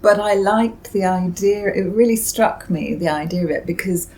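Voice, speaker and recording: A woman's voice: medium pitch, normal speed, a little mellow. A middle-aged or older British woman giving thoughtful, somewhat hesitant interview answers as a novelist.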